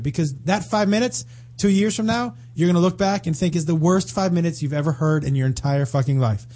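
Man, co-host, sarcastic, ranting, high voice, comedy radio banter. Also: darkish tone.

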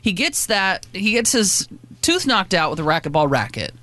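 A woman's voice: mid-range voice, normal speed, no room sound. A woman co-hosting a morning radio show, teasing her co-host and telling stories with gusto in a studio. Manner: teasing, storytelling, lively. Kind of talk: casual morning radio banter. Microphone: studio mic.

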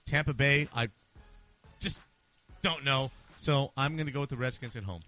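A man's voice: medium pitch, normal speed, bright, forceful tone, faint music behind. A man hosting a casual sports picks show, talking in a playful, slangy and sometimes profane way. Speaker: sports podcast host. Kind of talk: casual sports pick banter.

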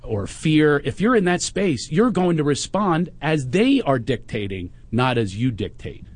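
A man's voice: fairly high pitch, big pitch swings, unhurried. A man from Philadelphia with a Philadelphia accent, hosting a podcast in a reflective, motivational way. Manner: reflective, motivational, spiritual encouragement. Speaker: podcast host. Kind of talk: casual podcast talk.

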